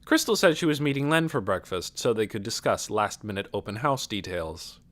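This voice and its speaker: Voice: mid-range voice, expressive, normal speed, fairly bright tone. An audiobook narrator reading a novel as scripted literary narration.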